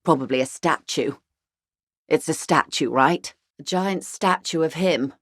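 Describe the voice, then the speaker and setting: An actress playing a wry, genteel, world-weary middle-aged woman of wealth in a video game, with measured, refined and dryly ironic speech. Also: sits low, no echo, clean studio sound. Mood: sardonic. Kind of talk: scripted game dialogue voice acting.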